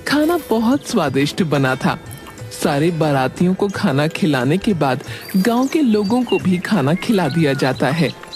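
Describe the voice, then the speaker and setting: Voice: medium pitch, big pitch swings, a little slow, darkish tone. A narrator reading a scripted cartoon story in a storytelling style. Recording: background music under voice.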